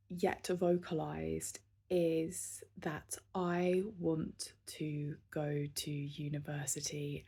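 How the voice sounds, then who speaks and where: A woman in her thirties talks candidly to camera in a casual vlog, thinking aloud as she goes. She speaks very slowly, with many pauses, fillers and restarts. Her voice sits a little low. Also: mellow. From southern England. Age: 30s. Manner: candid and self-reflective. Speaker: vlogger.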